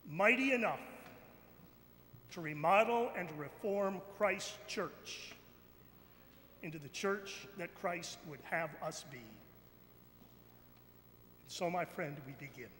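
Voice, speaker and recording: A middle-aged or older man preaching a sermon from a church pulpit in a deliberate, declamatory manner, repeating phrases for emphasis. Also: high-pitched, lively intonation, fairly bright tone. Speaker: bishop preaching. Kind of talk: sermon, slow and emphatic with pauses. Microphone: pulpit microphone. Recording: echoey church hall, background noise.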